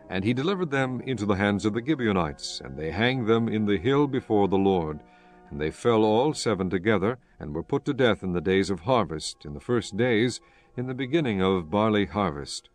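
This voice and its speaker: Low-voiced man narrating scripture solemnly. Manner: measured, formal.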